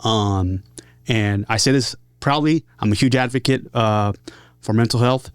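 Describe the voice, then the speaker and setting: A man speaking casually and openly as an interview guest, earnest, in short halting phrases. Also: mid-range voice, clean tone, no room sound. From San Diego area, United States. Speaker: podcast guest, musician. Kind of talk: casual, candid interview talk.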